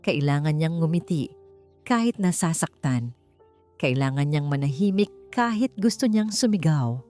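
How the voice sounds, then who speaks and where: A Filipino woman reads a scripted story as an audiobook narrator. Her voice sits a little low, and her pitch moves in a lively way as she tells it expressively and with measure. Music plays behind her. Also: moderate pace. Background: background music.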